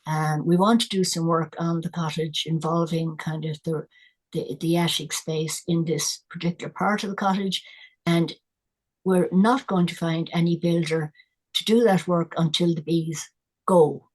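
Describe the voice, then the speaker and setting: An older Irish woman, a guest environmentalist, talking in a casual, thoughtful way with many pauses and restarts. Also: on the low side, steady tone. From Ireland. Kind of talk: casual conversational interview.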